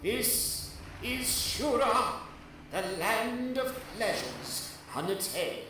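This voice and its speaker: A man's voice: very high-pitched, big pitch swings, very slow, slight background noise, pitched above the performer's own voice, exaggerated voice. A man voicing a solemn, bearded old guide of a mystical ship in a dramatic fantasy reading, with grave, ominous pronouncements. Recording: heavily echoing distant voice under loud music. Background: atmospheric music.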